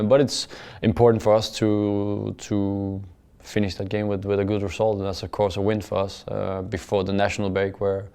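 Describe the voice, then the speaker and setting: A young man with a low voice answers interview questions in careful English, his pitch staying level with little rise or fall. He stumbles over repeated words and fills gaps with "uh", and his sentences fade out quietly at the ends. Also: smooth. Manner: halting, repeats words often with many "uh" fillers; plain and measured, trails off softly at the ends of phrases. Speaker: football player. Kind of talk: post-match interview answers.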